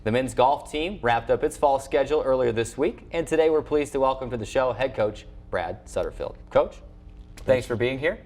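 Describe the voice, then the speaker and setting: A man's voice: medium pitch, normal speed, darkish tone. An American man hosting a sports show from a studio, reading scores and scripted updates and interviewing guests.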